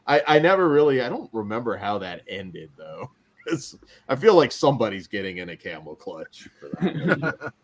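A man's voice: lowish voice, sing-song, normal speed, slight background noise. A man co-hosting a podcast, telling anecdotes in casual wrestling review chat and often chuckling as he talks. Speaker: podcast host.

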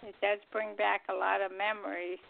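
A woman's voice: medium pitch, flat delivery, normal speed, gravelly. An older woman co-hosting a radio show in casual conversation over a narrow phone line.

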